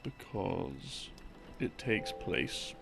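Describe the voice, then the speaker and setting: Man, gravelly fry, swooping intonation, halting intimate storytelling, musing, rambling boyfriend roleplay. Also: sits low, very animated, background noise. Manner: halting, musing delivery with trailing thoughts. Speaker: audio roleplay performer playing a boyfriend. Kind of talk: rambling, improvised intimate storytelling of game lore. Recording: music behind the voice. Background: slow game theme music.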